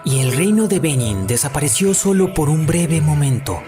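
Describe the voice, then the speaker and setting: A man's voice: a little high, very animated, normal speed. Man narrating a documentary over music. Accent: Latin American Spanish. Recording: music behind the voice. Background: background music.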